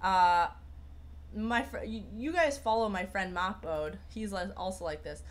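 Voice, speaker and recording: A woman's voice: medium pitch, unhurried. A young woman hosting a casual livestream, rambling through viewer comments with lots of fillers and restarts. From Persian heritage. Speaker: livestream host.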